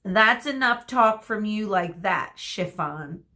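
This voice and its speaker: A woman reading a storybook as a theatrical, gleefully cruel female teacher who draws out her words with dramatic pauses. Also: medium pitch, animated, pushed and bright. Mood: smug. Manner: drawn-out dramatic pauses, exclaiming.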